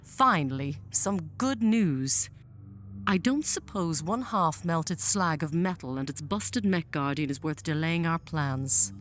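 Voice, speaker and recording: A woman playing a commanding alien general in a scripted audio drama, speaking in a formal, measured, imperious manner. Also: low voice, animated, faint music behind. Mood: stern, impatient. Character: commanding alien female general, proud, coldly persuasive mother.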